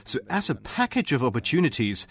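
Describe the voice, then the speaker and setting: A man's voice: medium pitch, sing-song, a little fast. A man, a company manager, explaining his program in an interview-style voice-over.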